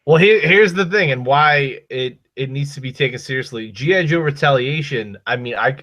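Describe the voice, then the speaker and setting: A man with a Boston accent hosting a podcast, giving loud, profane, emphatic opinions in casual chat. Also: mid-range voice, moderate pace. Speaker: podcast host. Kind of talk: casual chat about movies and toys.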